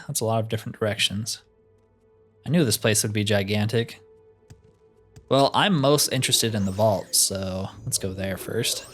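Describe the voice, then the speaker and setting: Man casually narrating gameplay over music. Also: on the low side. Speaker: gamer narrating a roleplay playthrough. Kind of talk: casual gameplay commentary, thinking aloud. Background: game music.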